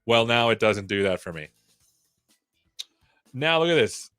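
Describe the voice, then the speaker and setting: A man's voice: medium pitch, animated, a little slow, a bit bright, clean tone, dry recording, studio quality, faint music behind. A man hosting a casual livestream, rambling playfully with jokey asides. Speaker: live show host. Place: own room at home.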